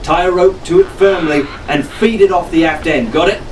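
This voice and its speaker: Guy doing a cocky teen pirate captain, bossy n sarcastic, higher voice, bit far from mic w/ noise. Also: moderate pace, natural voice. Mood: urgent, shouting. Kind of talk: audiobook character acting.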